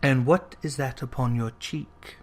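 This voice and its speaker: A gentle spirit from a storybook, put on by a narrator. The ghost speaks softly and gravely, in measured, solemn phrases. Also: medium pitch, fairly even intonation, voice pushed down, close to an everyday voice. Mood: gently probing.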